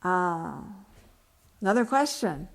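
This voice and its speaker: A woman novelist with a fairly low voice, speaking at a book event. She presents her own work to listeners in an author's unscripted, personal way. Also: expressive, slow pace, gravelly. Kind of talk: author reading aloud and answering questions.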